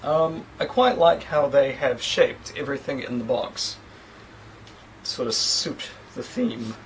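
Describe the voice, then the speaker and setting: A man in his thirties with a New Zealand accent talks through an unboxing in a relaxed, rambling way, throwing in little self-deprecating asides. His pitch bounces around a lot as he goes, and a faint hum of room ambience sits under his voice. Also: a little slow, low-quality recording. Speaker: tech reviewer. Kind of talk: casual unboxing talk. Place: small indoor room against a plain sheet backdrop.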